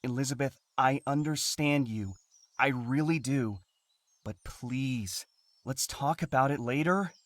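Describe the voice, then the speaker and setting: A mid-pitched, dry-recorded voice for a tired husband acted by a man. He is curt, defensive and dismissive, in video game drama style. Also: measured pace, dry recording, lightly acted. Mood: weary, defensive. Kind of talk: dramatic game cutscene acting.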